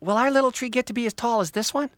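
Guy doing a little kid for a kids story, high bright voice, really eager. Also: fast, no room sound. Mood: hopeful. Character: eager small child begging dad for a tree.

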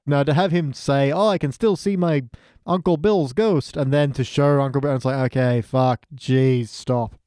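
A man's voice: mid-range voice, steady tone, normal speed, dark tone, no room sound, clean studio sound. A man speaking as a podcast guest in casual review chat, with a wry way of acting out quoted bits.

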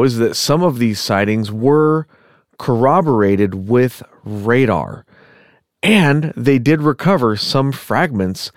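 A man's voice: medium pitch, no room sound. Slightly sick man, dry close mic. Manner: rambling, self-correcting, chatty asides to live chat. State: a little sick, clearing his throat. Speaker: podcast host. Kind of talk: casual storytelling podcast on unexplained history. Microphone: podcast microphone.

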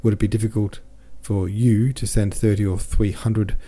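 A man voices a principled cavalry officer from a storybook, stubborn and indignant. He speaks emphatically in a dark, husky voice. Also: fairly low pitch, little pitch movement, normal speed, voice pushed up, natural voice. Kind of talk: storybook character reading.

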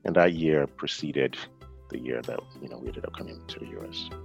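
A man's voice: a bass voice, brisk, vocal fry, soft background music. A middle-aged man, a guest in a casual interview, talking thoughtfully with many fillers and restarts. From Nigeria and the US.

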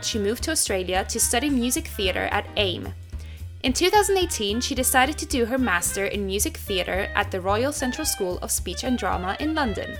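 An upbeat woman hosts a podcast and welcomes her guest. Her voice sits a little high, and music plays clearly behind her. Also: a bit bright. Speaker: podcast host. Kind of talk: scripted introduction then casual interview chat.

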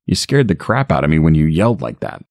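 A man voicing a surly, dismissive lout who talks in a rough, bossy way. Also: a bass voice, very animated, quick pace, a little mellow, no echo, studio quality, full character voice. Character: surly, hungover lout, the boyfriend.

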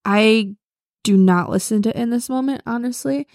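A woman's voice: on the high side, moderate pace, darkish tone, dry recording, clean studio sound. A young woman co-hosting a podcast, chatting casually and filling her talk with "like". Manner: chatty, lots of "like". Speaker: podcast co-host. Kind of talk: casual chat.

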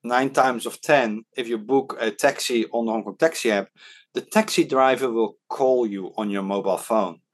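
A Dutch man talks through his points in a relaxed interview, stopping often on "uh" and restarting his words. His voice sits at an ordinary middle pitch for a man. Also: normal speed. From Netherlands. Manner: hesitant, many fillers and restarts. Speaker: podcast guest, startup advisor. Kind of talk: casual interview talk. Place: Hong Kong.

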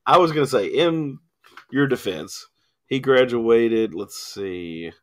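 A man's voice: medium pitch, lively intonation, slow, a bit bright. A man hosting a casual sports radio show in a studio, rambling with many ums and fillers, his voice starting to go. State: losing his voice, coughing. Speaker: sports talk host. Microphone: studio mic with cough button.

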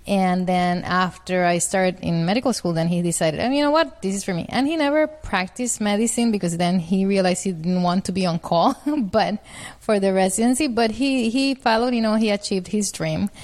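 A middle-aged woman from Puerto Rico, a physician guest answering interview questions, laughing easily and repeating words as she thinks. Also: mid-range voice.

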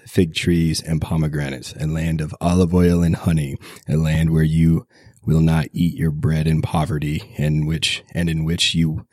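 A man's voice: a bass voice, dry recording, clean studio sound. A man who is getting over a cold hosts a podcast and reads scripture aloud steadily, correcting himself now and then. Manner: steady reading with self-corrections. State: recovering from a cold. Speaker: podcast host reading scripture. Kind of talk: scripture reading.

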